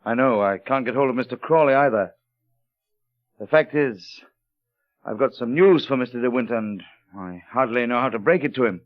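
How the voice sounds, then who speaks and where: An actor playing a grave, tactful harbour master in 1930s radio drama, speaking in a measured, apologetic and hesitant way. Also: male voice, medium pitch, close to an everyday voice. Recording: muffled, thin old radio recording.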